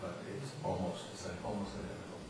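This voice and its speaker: A very echoey, distant recording of a man with a low voice. He speaks in a halting, deliberate way with formal phrasing, as if thinking through his comments in a discussion, with clear noise around him. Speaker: audience member at a book reading. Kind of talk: reflective discussion at a book talk. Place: indoor literary salon, far from mic.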